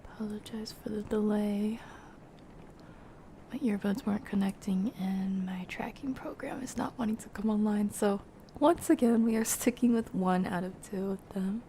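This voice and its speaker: A woman hosting a casual gaming livestream, chatting to viewers in a soft, breathy voice that often drops near a whisper. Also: mid-range voice, fairly even intonation, moderate pace, slight background noise. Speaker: livestream host, gamer.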